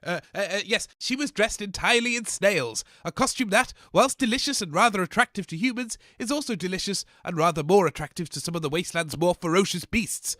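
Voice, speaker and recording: A man's voice: high-pitched, brisk, hardly any pauses, pushed and bright, no echo. A man with a British accent playing a fussy, easily flustered host on a comic radio news show. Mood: mock newsreader. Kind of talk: mock news reading and comic radio acting.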